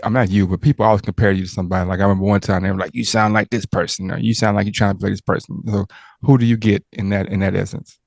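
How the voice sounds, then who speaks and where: Rambling, joking man host with a low voice. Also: flat delivery, racing, gravelly, a little mellow, no echo, studio quality. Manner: rambling, joking, prone to tangents and stammers. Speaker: interviewer and host. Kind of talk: casual interview chat.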